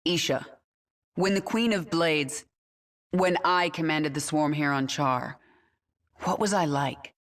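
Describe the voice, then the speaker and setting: A woman's voice: deep voice, takes it slowly, pauses often. An actress playing the commanding queen of an alien swarm, giving cold, authoritative orders in a game cutscene.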